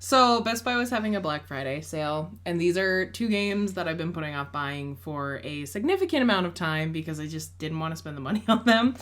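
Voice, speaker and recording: Young female co-host, lower register, relaxed playful banter. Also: brisk, few pauses. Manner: upbeat, playful, laughs often. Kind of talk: casual chat.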